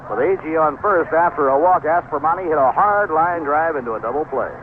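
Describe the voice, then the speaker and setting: A man's voice: on the high side, animated, a little fast. An American man calling baseball play-by-play as a live radio commentator. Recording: narrow, noisy 1970s AM radio broadcast.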